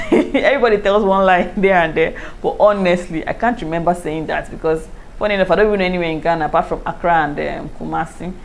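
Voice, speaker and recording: A woman with a Nigerian accent, chatting casually to her viewers in a lively way and often breaking into laughter. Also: lowish voice, fast talker, vocal fry, a little hiss or hum. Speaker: YouTuber. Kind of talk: casual review chat to camera.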